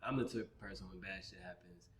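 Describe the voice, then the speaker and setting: A man with a deep voice hosting a podcast, asking rambling questions that restart midway. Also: fast talker, fairly bright tone, slight background noise. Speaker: podcast host. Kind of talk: casual interview questions. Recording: roomy echo.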